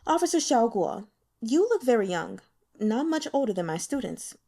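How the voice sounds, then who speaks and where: Gentle scholarly man, played by a female reader, courteous and considerate, lively melodic phrasing, storybook dialogue. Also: on the high side, moderate pace, gravelly, full character voice. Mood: friendly, coaxing. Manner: courteous, softly apologetic small talk. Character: polite, gentle male university teacher, considerate and scholarly.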